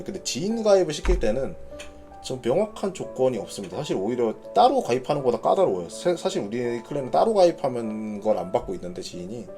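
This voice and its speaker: A man's voice: medium pitch, mellow. A man hosting an informal live announcement for a gaming community, reading notes and explaining them in a conversational way while thinking aloud. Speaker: gaming clan leader hosting announcement broadcast.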